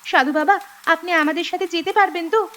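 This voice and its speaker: A woman voicing high-pitched young village sisters. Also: fast, noisy background. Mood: concerned. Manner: urgent, caring and earnest. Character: young village girl sisters, caring and brisk. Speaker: voice actor. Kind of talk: cartoon voice acting.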